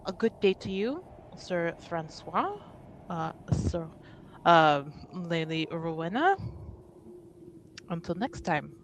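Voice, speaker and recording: A woman's voice: on the low side, sing-song, slow, pauses often, a little hiss or hum, rough recording. A woman playing a polite, breezy lady with genteel, formal phrasing in a tabletop roleplaying game. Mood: courteous. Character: polite, breezy lady taking her leave of nobles.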